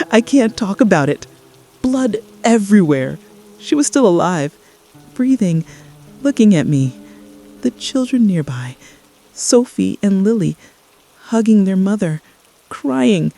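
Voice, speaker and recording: An actress playing a guilt-ridden, traumatized mother in an apocalypse radio drama, confessing haltingly and repeating her phrases in distress. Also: mid-range voice, warm tone, exaggerated voice.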